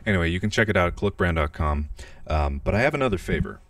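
Man, very low voice, hushed casual chat, dry sound, mic a bit far. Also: lively intonation, fast talker, no room sound. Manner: rambling, self-deprecating, speaks quietly. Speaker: YouTuber. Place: small bedroom, indoors. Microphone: handheld podcast mic, held awkwardly.

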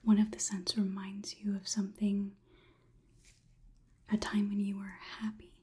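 A young woman playing a polite, condescending AI robot overlord in a slow, breathy ASMR whisper. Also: medium pitch, monotone, dark tone, natural voice. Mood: clinical, probing. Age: young adult. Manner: slow, soothing, clinical, patronizing. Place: small indoor room.

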